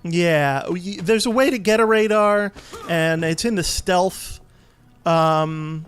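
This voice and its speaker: A man's voice: high voice, slow pace, faint music behind. A man giving casual, easygoing commentary as he plays and explains a video game. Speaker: let's play host and player.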